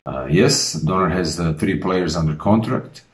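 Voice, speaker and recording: A man, a basketball head coach giving a measured, formal introductory address to fans. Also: deep voice. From Croatia. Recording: roomy echo.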